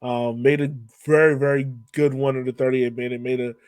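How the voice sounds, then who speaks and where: A man's voice: mid-range voice, little pitch movement, a little fast, a little mellow, smooth, dry recording. A man co-hosting a soccer fan podcast, talking casually and informally about games in an upbeat way. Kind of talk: casual game-analysis chat.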